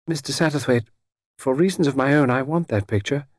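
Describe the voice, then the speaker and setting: A man's voice: mid-range voice, a little fast, husky, no room sound, studio quality, voice pushed up, close to an everyday voice. A man voicing a reserved aristocratic lady on the telephone in an audiobook, small-voiced, cold and formally polite. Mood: earnest, reserved.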